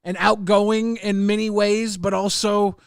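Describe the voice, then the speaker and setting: A man hosting a casual livestream podcast, rambling and halting, swearing and reading out chat messages. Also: high voice, level tone, vocal fry, clean tone, no room sound, studio quality.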